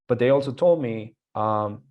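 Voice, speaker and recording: A man's voice: medium pitch, moderate pace, phone-quality sound. A young man with a Colombian Spanish accent, a guest telling his own story in a casual interview, rambling with fillers and restarts.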